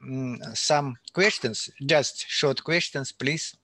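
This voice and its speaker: A man speaking halting non-native English as a native Turkish speaker, interviewing a guest in a casual conversation. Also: mid-range voice, sharp tone, no echo.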